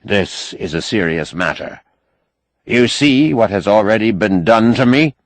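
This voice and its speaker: A man's voice: fairly low pitch, expressive, unhurried, dry recording. A man voicing a wily, indignant, eloquent doctor with pompous, ornate oratory in an audiobook. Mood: accusing, forceful. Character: wily, indignant, eloquent male doctor, master of his house. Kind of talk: audiobook character acting.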